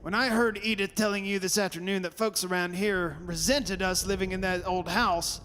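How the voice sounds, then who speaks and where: A male actor plays a blustering, sceptical father in an old-time radio horror play. His voice is high and forceful, insistently arguing. It rings through a reverberant hall with clear hiss. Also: steady tone, moderate pace. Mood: defiant. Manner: insistent, argumentative. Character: stubborn sceptical father, proud and blustering, rationalising away terror. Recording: hissy recording in a reverberant hall.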